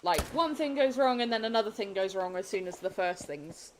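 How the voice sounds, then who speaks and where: A woman streaming a game, sniffly, chatting candidly and rambling with frequent soft laughs. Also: mid-range voice, animated, a little fast, slight background noise. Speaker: gaming streamer. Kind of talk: casual chat while gaming.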